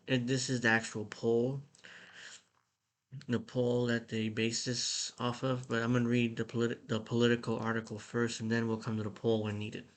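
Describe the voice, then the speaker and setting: A man from the United States giving blunt, irritated commentary while reading an article aloud at his computer, with frequent restarts. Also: medium pitch. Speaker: political commentator.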